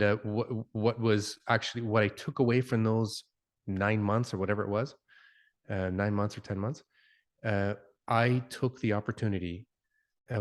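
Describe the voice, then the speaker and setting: A man in his forties, an interview guest raised in Canada whose first language is Italian, speaking reflectively with many fillers and restarts. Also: on the low side. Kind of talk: conversational interview.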